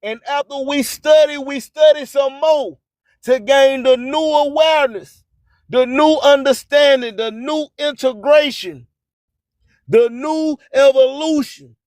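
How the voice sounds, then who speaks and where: A man's voice: extremely high pitch, unhurried, darkish tone, dry recording. A man hosting radio with an emphatic, preacher-like motivational monologue full of repeated phrases. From US Gulf Coast. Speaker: radio host.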